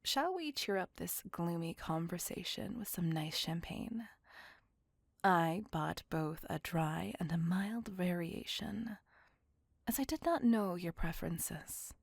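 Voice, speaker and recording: A woman performs a melancholy, courteous female vampire in an intimate ASMR roleplay. Her soft voice is very breathy and close, with a dry sound. She speaks slowly and formally, with wistful tenderness. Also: on the low side, lively intonation, dry recording, exaggerated voice. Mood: gracious, gentle.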